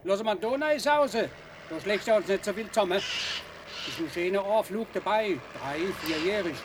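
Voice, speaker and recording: An actor playing a practical rural woodcutter in a radio play. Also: male voice, high voice, expressive, moderate pace. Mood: practical, alert. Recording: very noisy outdoor scene with dog.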